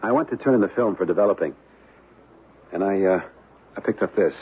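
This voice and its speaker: An actor playing a wary, easygoing young American husband in a radio drama, speaking in slangy, hesitant phrases. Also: male voice, deep voice, fairly quick, husky. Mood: gentle. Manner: slangy, hesitant, repeating words. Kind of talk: old-time radio drama acting. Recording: tinny, band-limited vintage radio, hissy.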